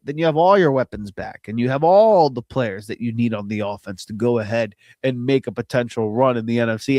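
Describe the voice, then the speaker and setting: Man, stuffy nasal voice, mid pitch, dry recording, casual rambling sports talk. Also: no room sound. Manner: rambling, opinionated, self-deprecating. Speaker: podcast host. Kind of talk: casual solo sports commentary.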